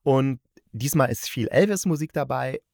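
A man with a medium-pitched voice hosts a casual solo review podcast. He talks in a chatty, slightly halting way, feeling for the right word and correcting himself. His delivery has a playful, jokey side, and the sound is dry with hardly any room. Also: gravelly, a little mellow, dry recording. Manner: halting, searching for words, self-correcting. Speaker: podcast host reviewing radio plays.

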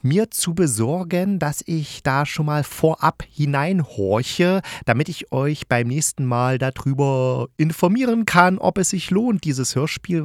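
A man's voice: fairly high pitch, no echo, clean studio sound. A man hosting a podcast as a critic, giving an enthusiastic, conversational review in a colloquial, emphatic and opinionated way. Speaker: podcast host and radio drama critic.

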